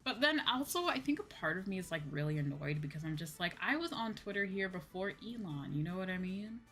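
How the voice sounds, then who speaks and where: A woman's voice: lowish voice, lively intonation, brisk, fairly bright tone, faint music behind. A woman streamer chatting casually to her livestream, with an exasperated, venting manner and some casual swearing. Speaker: VTuber streamer, host.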